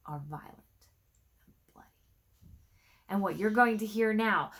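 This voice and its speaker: A woman reading a storybook aloud in an expressive, dramatic way, letting her phrases drop into a hush. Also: lowish voice. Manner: dramatic, with hushed phrase endings. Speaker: storybook reader. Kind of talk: expressive read-aloud narration.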